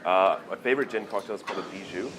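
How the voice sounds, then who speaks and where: A man with a Canadian accent chatting casually at a poker table, relaxed and full of fillers. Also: low voice, rapid-fire, noisy background. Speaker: poker player.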